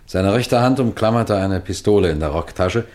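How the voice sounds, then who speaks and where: An actor playing a shrewd, self-assured middle-aged detective chief in a 1960s radio drama, explaining things in a measured, knowing way. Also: male voice, on the low side, darkish tone, lightly acted. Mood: measured, explanatory.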